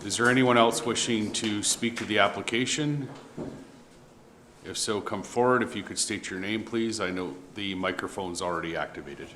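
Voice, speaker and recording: A man chairs a committee meeting briskly, moving it along step by step. Loud background noise and clicks sit under his lower voice. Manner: brisk, procedural.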